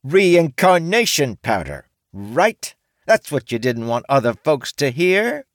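Man doing a shrill brash orc woman. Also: very animated, slow, no echo, studio quality. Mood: angry. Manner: loud, indignant, bossy.

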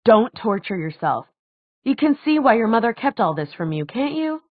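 A woman voicing a gentle, weary man in an audiobook, speaking in a quiet, measured, apologetic way. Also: a little high, big pitch swings, no echo, narrow, tinny audio, pitched above the performer's own voice. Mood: pained. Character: gentle, weary adult man, guardian figure.

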